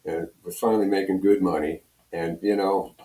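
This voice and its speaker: Deep, mellow older American man, roomy sound. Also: steady tone, normal speed. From Pennsylvania, US. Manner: rambling, conversational, repeats you know. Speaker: radio program director and personality, interview guest. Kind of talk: casual storytelling in interview. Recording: roomy echo, distant from mic.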